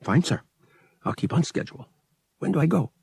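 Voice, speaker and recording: A man's voice: a little high, expressive, a little fast, creaky, darkish tone, husky, no room sound, pitched above the performer's own voice, full character voice. A man voicing a cocky, wry young male pilot in an audiobook, with casual, clipped delivery. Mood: eager, deferential. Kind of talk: audiobook character voice.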